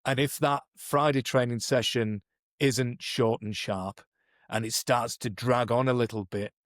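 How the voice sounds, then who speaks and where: A man's voice: medium pitch, fairly even intonation, unhurried, no echo, clean studio sound. A man co-hosting a podcast, explaining coaching methods in a thoughtful, conversational way, often restarting his sentences. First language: English. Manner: thoughtful, many restarts and "you know"s. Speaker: podcast co-host, performance coach.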